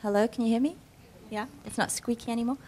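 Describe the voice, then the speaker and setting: Woman moderating a panel, halting. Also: a little high, steady tone, a little mellow, a little hiss or hum. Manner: chatty, halting questions with fillers. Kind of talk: panel discussion.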